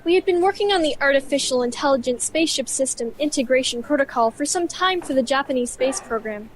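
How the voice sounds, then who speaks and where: Very high-voiced, exacting woman scientist, acted. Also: expressive, fast, slight background noise. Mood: earnest. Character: exacting female artificial intelligence scientist, earnest. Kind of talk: scripted sci-fi radio play.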